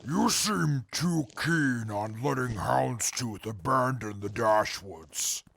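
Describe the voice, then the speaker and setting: A man voicing an irritable, menacing wasteland fighter in an audio drama, raspy and scornful, with formal wording. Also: medium pitch, lively intonation, slow pace, a bit bright, slight background noise, full character voice. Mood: disdainful. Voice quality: raspy, gravelly. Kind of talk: post-apocalyptic audio drama acting.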